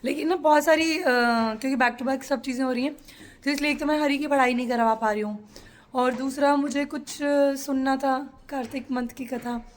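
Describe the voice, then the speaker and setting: A woman's voice: high-pitched, fairly even intonation, normal speed. A woman chatting to her phone camera at home for a daily family vlog, teasing her family as she goes. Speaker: vlogger. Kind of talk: casual vlog chat.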